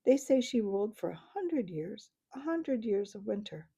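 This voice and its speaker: A woman voicing an angry, defiant male dwarf who snaps and shouts. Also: mid-range voice, sing-song, normal speed, creaky, slightly rough, dull audio, exaggerated voice. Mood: scornful. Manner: bitter, snapping, rising to shouts.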